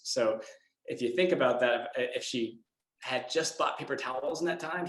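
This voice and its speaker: A man speaking casually as a guest answering children's questions, in a plain, hesitant, self-deprecating way. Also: mid-range voice. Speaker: guest speaker from an eco products company. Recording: echoey room sound.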